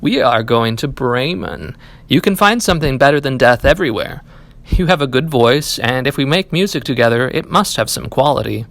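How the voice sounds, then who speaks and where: A man's voice: mid-range voice, lively intonation, normal speed, fairly bright tone, dry recording. A man voicing a big, friendly, confident donkey in a storybook, hearty and persuasive. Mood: hearty, inviting. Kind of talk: storybook character reading.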